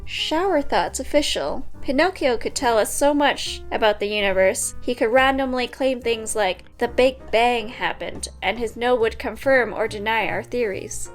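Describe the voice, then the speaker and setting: A woman's voice: fairly high pitch, moderate pace, few pauses, soft background music. A woman co-host reading posts aloud and bantering casually.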